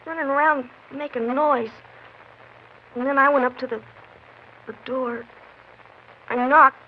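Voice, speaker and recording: A woman's voice: sits high, fairly even intonation, unhurried. A woman playing a shy, awed young American ranch boy in a 1950s western radio drama, with a hesitant, rustic, childlike manner. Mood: anxious, hesitant. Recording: muffled, noisy 1950s radio recording.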